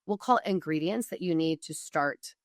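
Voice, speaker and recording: A woman's voice: deep voice, moderate pace, no echo, clean studio sound. An American woman speaking as a podcast guest, explaining business advice conversationally with emphatic asides and self-interruptions. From Oregon, United States. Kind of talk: conversational interview, informal coaching.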